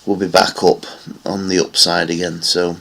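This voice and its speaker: A man's voice: low-pitched, little pitch movement, normal speed, gravelly, fairly bright tone. A man giving casual, rambling commentary on silver price charts, with many fillers. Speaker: market analysis vlogger.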